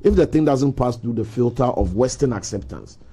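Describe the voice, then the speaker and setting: A man's voice: medium pitch, animated, brisk, mellow, husky. A man with a Ghanaian accent speaking as a panelist in an opinionated discussion, explaining his points emphatically. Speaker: panelist on a culture talk show.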